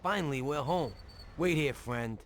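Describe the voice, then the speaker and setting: A man's voice: a little high, normal speed, a little hiss or hum, lightly acted. An actor playing a tough, terse mob henchman in a video game, with a commanding manner. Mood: relieved. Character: tough, hard-driving male mob henchman.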